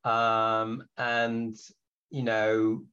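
A man from Belgium, whose first language is not English, speaking as a podcast guest in a reflective way with many pauses and restarts. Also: lowish voice, monotone, bright, forceful tone, clear, resonant tone, lo-fi sound. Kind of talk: podcast interview.

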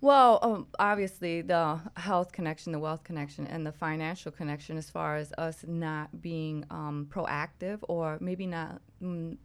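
A woman's voice: low-pitched, steady tone, a little slow, hardly any pauses, clean tone. A woman co-hosting a talk radio show in a casual, conversational style.

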